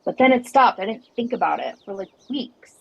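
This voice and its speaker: A woman plays an inquisitive camper in a radio play. She has a medium-pitched voice and speaks in an earnest, reflective, conversational way. The sound is very dry and a little dull. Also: lively intonation, fairly quick, vocal fry, slightly rough, dry recording. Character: earnest, inquisitive female camper with creative blocks. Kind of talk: conversational audio drama dialogue.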